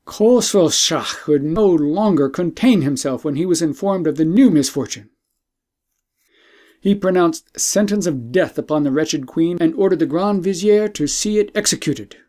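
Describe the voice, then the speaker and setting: A man narrates an old tale for an audiobook, with a voice pitched a bit above the usual for men. His tone is warm and soft, and he reads in a measured, formal storytelling manner.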